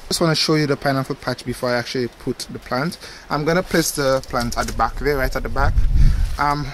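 A man's voice: mid-range voice, fairly quick, a little hiss or hum. A young man, casually explaining how he grows plants while standing outdoors in his backyard garden, close to the camera. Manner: relaxed explanatory, points at the plant as he talks. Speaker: gardening channel host. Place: outdoor backyard garden beside a hoop house. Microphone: camera close up at face level.

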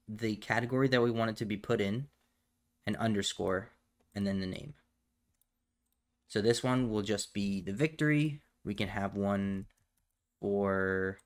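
A man with a fairly low voice narrates a software tutorial step by step. He explains each action casually and plainly, as someone talking while working at a computer. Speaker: tutorial host. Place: indoors at a computer, voice over screen capture.

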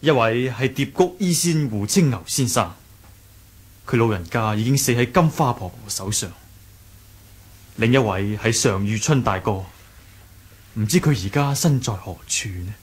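An actor playing an earnest, courteous young sect leader in a Cantonese radio drama, speaking in a measured, polite way with a Hong Kong accent. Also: male voice, mid-range voice, lively intonation, breathy edge.

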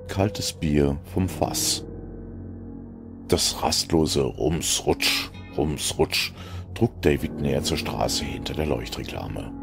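A man narrating a dark story as a dramatic audiobook, with brooding, theatrical delivery. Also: a bass voice, slow pace, vocal fry. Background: music.

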